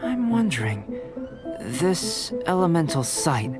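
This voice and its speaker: An actor playing a calm, courteous young male alchemist in an anime-style game, speaking in a measured, scholarly way. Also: a little high, over loud music. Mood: mild, appreciative.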